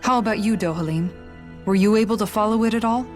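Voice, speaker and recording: Female actor, sincere young warrior, polite, questioning, average pitch, video game drama, clear background music. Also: fast, lightly acted. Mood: puzzled. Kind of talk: video game cutscene acting.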